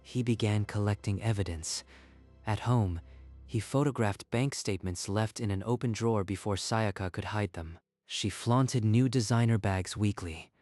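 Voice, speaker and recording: A man narrating a manga dub in an earnest, steady storytelling voice. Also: fairly low pitch, normal speed, no echo, faint music behind. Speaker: narrator and lead voice actor.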